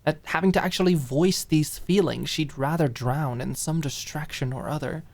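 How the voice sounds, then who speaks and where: Female narrator for ASMR drama audiobook: scripted fiction, expressive and deliberate delivery. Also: deep voice.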